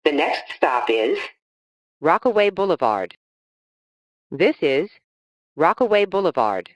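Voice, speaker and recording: Slow, measured woman announcing train stops. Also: low-pitched, big pitch swings, dull audio. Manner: measured, formal, phrase-by-phrase delivery. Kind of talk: recorded automated transit announcement, scripted.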